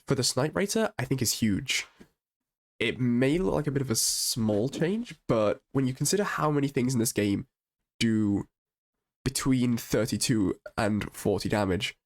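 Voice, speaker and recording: A man with a croaky voice from being a little sick, casually reviewing game patch notes with upbeat enthusiasm and frequent restarts. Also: mid-range voice. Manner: chatty and enthusiastic, with ums and restarts. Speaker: gaming channel host.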